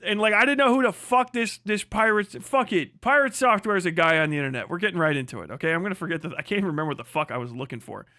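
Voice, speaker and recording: A man's voice: high-pitched, expressive, very fast, few pauses, pushed and bright, dry recording, studio quality. A man, perhaps middle-aged, ranting casually on a livestream in a brash, mocking, profane and emphatic way. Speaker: livestream host.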